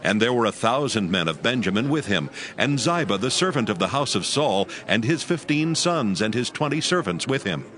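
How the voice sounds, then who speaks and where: A male narrator reading scripture in a steady, grave storytelling manner for a dramatized production. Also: medium pitch, moderate pace, hardly any pauses. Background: music.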